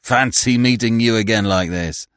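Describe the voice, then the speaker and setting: A man's voice: lowish voice, moderate pace, a bit bright, no echo, studio quality, natural voice. A man voicing a jovial, hearty sponger in a storybook, with effusive, exclamatory old-chap friendliness. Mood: chummy, wheedling.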